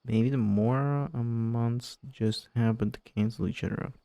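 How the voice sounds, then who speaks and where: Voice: medium pitch, fairly even intonation, normal speed, warm tone, no echo. A game streamer casually reacting and reading aloud while playing.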